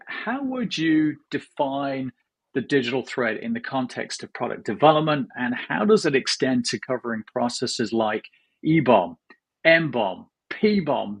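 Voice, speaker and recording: A male podcast host with a husky, slightly breathy voice. He asks his questions in an upbeat, playful tone at a mid pitch. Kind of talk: casual interview hosting.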